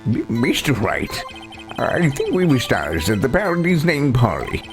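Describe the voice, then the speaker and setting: A man's voice: mid-range voice, wildly swooping pitch, normal speed. A man voicing a flustered, bumbling old male judge in a courtroom video game, exclaiming and dithering. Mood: bemused. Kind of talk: voiced playthrough of a courtroom game. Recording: game music behind.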